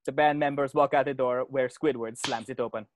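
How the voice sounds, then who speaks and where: A man's voice: mid-range voice, fairly even intonation, fairly quick, a little hiss or hum. A man narrating stage directions from a script during a cartoon table read.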